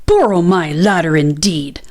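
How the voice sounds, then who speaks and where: A woman's voice: on the low side, a little slow, a little mellow, pitched below the performer's own voice, lightly acted. A woman voicing a grumpy, huffy male badger in a children's story, spluttering and easily exasperated. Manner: blustering, spluttering exclamations.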